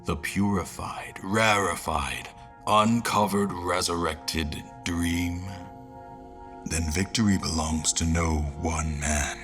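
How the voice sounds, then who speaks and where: Casting: male actor for grave fantasy general, low voice, weighted pauses, devout and theatrical, music underneath. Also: fairly even intonation. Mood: grave, resolute. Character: grave male war general, devout seeker of a lost dream. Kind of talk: dramatic monologue in a fantasy audio drama.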